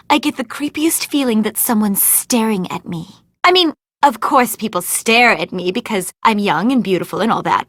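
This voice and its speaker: Spoiled young movie diva, high voice, swooping intonation, whiny, haughty, melodramatic, video game character. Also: female voice, a bit bright, no room sound, clean studio sound. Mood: indignant, uneasy. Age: young adult. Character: vain, dramatic young film starlet, self-absorbed diva.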